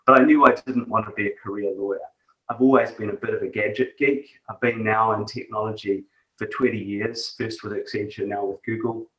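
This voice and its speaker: A man's voice: fairly low pitch, fairly even intonation, fairly quick, a bit bright, muffled recording. A middle-aged man with a New Zealand accent, reflecting as an interview guest, with many fillers and restarts. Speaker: guest, tech executive. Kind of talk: conversational interview. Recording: echoey room, distant from mic.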